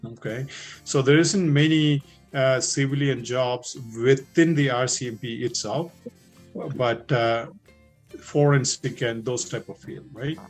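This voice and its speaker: A middle-aged man with a thick Indian accent talks in a loose, chatty way as a police officer answering questions at a recruiting session. His voice sits at an ordinary middle pitch. He often pauses on "uh" and restarts a sentence, and faint music plays behind him. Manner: conversational, many fillers and restarts. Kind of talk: informal Q&A talk.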